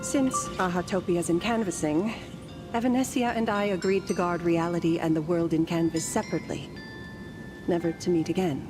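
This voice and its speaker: A woman voicing an ancient, serene female guardian in a story game, with formal, measured, gently teasing phrasing. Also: fairly low pitch, natural voice.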